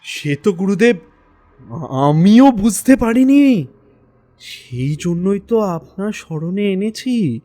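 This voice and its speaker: Worried young male devotee, actor: high voice, urgent, deferential, highly expressive audio drama performance. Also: slow, pauses often, full character voice. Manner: earnest, urgent. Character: anxious, deferential young man pleading with a guru.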